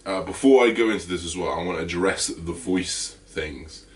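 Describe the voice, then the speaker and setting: A man with a deep, slightly raspy voice, talking casually to camera in a fitness vlog with lots of fillers. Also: moderate pace, recorded from a distance. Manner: energetic, chatty, full of fillers. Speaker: fitness vlogger.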